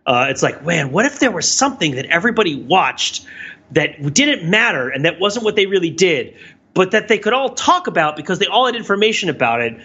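A man's voice: high voice, big pitch swings, a little fast, pushed and bright, slightly rough, muffled recording. A man co-hosting a podcast in casual, analytical chat, thinking aloud in a discursive way. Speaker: podcast co-host.

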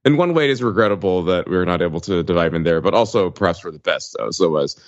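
A man's voice: sits low, lively intonation, fast, highs cut off. A man co-hosting a podcast over a call, casual but wry and wordy in his phrasing. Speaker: podcast co-host. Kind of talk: casual discussion.

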